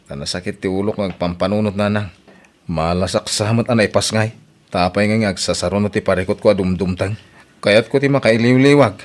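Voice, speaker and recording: A man's voice: fairly low pitch, fairly quick, lightly acted. A male actor playing a troubled, self-pitying husband who drinks, in an Ilocano radio drama, speaking with an Ilocano accent. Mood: dejected.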